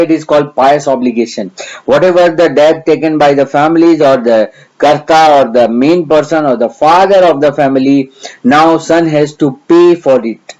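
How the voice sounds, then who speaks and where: A man's voice: on the high side, darkish tone, lo-fi sound. A middle-aged man from India with a Gujarati accent, teaching astrology in a hesitant, earnest way with many fillers. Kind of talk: lecture.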